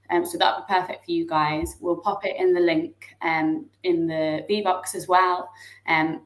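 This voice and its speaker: A woman hosting an online webinar over video call, introducing the guest and politely reading out a disclaimer. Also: on the low side. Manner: polite, reads out a formal disclaimer. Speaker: webinar host. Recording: echoey room audio.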